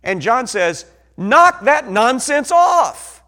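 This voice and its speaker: Ozark-accented male preacher, high lively voice, slow deliberate delivery, sermon with big dynamic swings. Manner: animated, swings from soft to loud emphasis.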